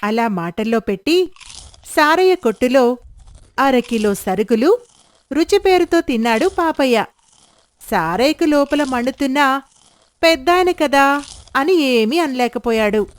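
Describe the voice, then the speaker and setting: A woman's voice: sits high, lively intonation, unhurried, long pauses. A woman narrating a scripted moral story as the storyteller.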